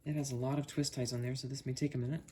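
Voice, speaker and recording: A hobbyist casually narrating an unboxing and test in a halting way, thinking aloud while working with their hands. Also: medium pitch, flat delivery, dark tone. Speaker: hobbyist video creator. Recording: noisy, with clicks and handling sounds.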